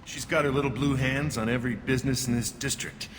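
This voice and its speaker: An actor voicing a gruff, dismissive alien guard in a video game, curt and condescending. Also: male voice, medium pitch, steady tone, fast, close to an everyday voice. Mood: scornful, curt. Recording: long reverb, background noise.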